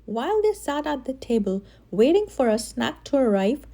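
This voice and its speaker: A woman reading a children's story aloud in an expressive way, with animated, dramatic emphasis. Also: high voice, moderate pace, vocal fry. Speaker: children's story reader and channel host.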